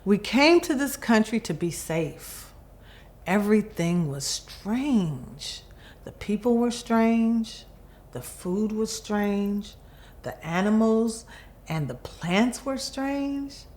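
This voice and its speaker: Very slow, expressive woman storyteller. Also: mid-range voice. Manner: expressive, deliberate phrasing with pauses. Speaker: volunteer storybook reader. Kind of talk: children's picture book read-aloud.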